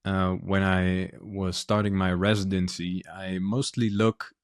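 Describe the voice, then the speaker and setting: Low, flat-voiced Dutch man hosting. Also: no room sound, studio quality. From Netherlands. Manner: earnest, measured, many ums and uhs. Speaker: podcast host, physician. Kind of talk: solo podcast monologue.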